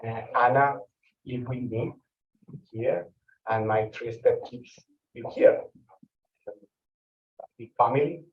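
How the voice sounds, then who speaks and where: A man from Colombia, a native Spanish speaker, introducing himself haltingly with simple phrasing. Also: mid-range voice, steady tone. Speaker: new agent introducing himself. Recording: far, roomy, dull recording.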